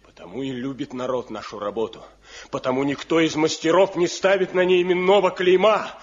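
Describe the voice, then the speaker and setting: A man's voice: fairly high pitch, steady tone, fairly quick, lightly acted. An actor playing a stern, pious traditionalist village craftsman, declaiming solemnly in Soviet radio drama style. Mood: solemn, devout. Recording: dull lo-fi 1970 radio recording.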